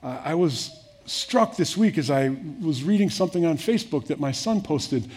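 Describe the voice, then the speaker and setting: An older man preaching a sermon in an earnest way, rising to emphatic peaks and then dropping soft. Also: fairly high pitch, lively intonation, moderate pace, breathy edge. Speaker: pastor.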